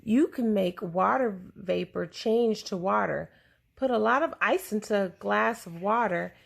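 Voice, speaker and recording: A woman reads a children's science book aloud at a slow, deliberate pace. Her voice has a noticeable creak and fry at its edges. The phrasing is simple, suited to a young listener. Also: medium pitch.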